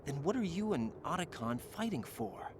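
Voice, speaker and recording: A man's voice: a little high, big pitch swings, moderate pace. An actor playing a troubled young male soldier in a game cutscene, earnest and searching in his questions. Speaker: game character. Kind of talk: video game cutscene voice acting. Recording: game audio with ambient noise.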